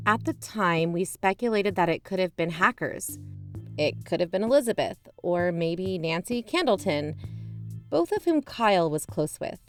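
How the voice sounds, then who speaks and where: An actress playing a suspicious, self-justifying investigator who hosts a podcast, narrating in an emphatic, confiding, conversational way. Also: female voice, medium pitch, close to an everyday voice. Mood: explanatory. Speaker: podcast host.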